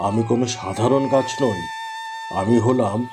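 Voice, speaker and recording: A man's voice: medium pitch, normal speed, music in the background, close to an everyday voice. A man voicing a kindly, solemn magical tree in a fairy tale cartoon, speaking in a reassuring, instructive way. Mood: grateful, solemn.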